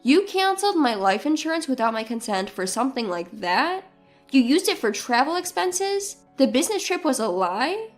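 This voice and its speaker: High, pointed betrayed wife played by a woman. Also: big pitch swings, normal speed, soft background music. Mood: indignant. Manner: pointed, questioning. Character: betrayed, sharp-tongued wife and mother confronting her husband.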